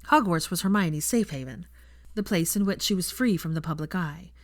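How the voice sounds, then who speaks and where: A woman's voice: on the low side, moderate pace, no echo, clean studio sound. A woman narrating scripted fiction as an audiobook reader.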